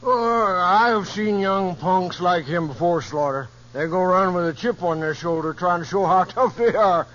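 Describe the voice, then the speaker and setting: An actor in a 1950s radio playing a folksy, wry old trail hand. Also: male voice, sits high, a bit bright. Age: older. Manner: folksy, chuckling, unhurried. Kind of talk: 1950s radio western acting. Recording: muffled old radio recording.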